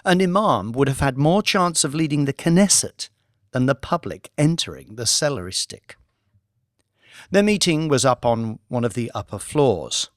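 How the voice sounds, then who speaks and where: Male audiobook narrator, slow and expressive, dry close studio sound. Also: mid-range voice, dry recording.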